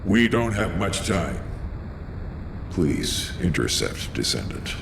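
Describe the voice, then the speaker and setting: A man voicing a grave male analyst who explains things deliberately. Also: low voice, big pitch swings, vocal fry, exaggerated voice. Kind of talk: video game cutscene voice acting. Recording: echoey hall with noise.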